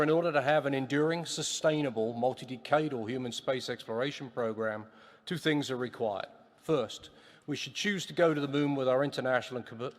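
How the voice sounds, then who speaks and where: Northern Indiana man in an echoing hall. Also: medium pitch. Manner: measured reading with self-deprecating asides. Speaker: lunar scientist. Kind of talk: prepared policy remarks. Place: large museum hall. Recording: long reverb in a large hall.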